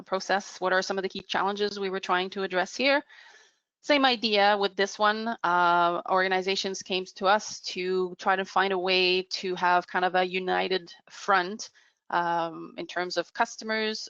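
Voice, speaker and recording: Low, creaky-voiced woman presenting a demo. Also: fairly bright tone, no room sound, muffled recording. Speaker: webinar presenter giving a software demo. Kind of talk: unscripted software demo narration.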